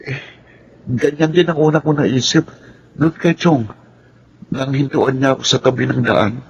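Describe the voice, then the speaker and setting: A Filipino man playing an anxious, gentle, polite orphan youth in a radio drama. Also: mid-range voice, steady tone, measured pace, gravelly, husky, muffled recording, exaggerated voice. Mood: plain, earnest. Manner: earnest, polite.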